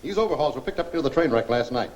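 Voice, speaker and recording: An actor playing a brisk American police commissioner with a businesslike, authoritative manner in a 1940s film serial. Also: male voice, mid-range voice, lively intonation, darkish tone, dull audio, lightly acted. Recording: faintly noisy 1940s film soundtrack.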